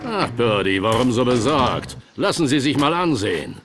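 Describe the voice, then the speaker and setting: An actor playing a smug, condescending crime boss with a sardonic, menacing manner in a game cutscene. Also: male voice, mid-range voice, very animated, moderate pace, noisy background, lightly acted. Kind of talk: dramatic game cutscene acting.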